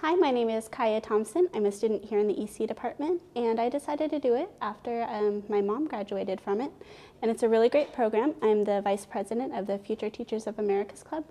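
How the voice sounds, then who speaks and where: A woman's voice: a little high, fairly quick, warm tone. A young woman giving a testimonial as a college student.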